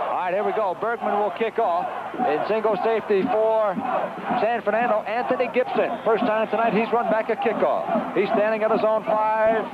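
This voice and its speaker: High-pitched American football announcer, noisy old broadcast. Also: male voice. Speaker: play-by-play sports announcer. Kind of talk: live American football radio commentary. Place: outdoor high school football stadium. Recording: muffled, noisy 1970s radio broadcast.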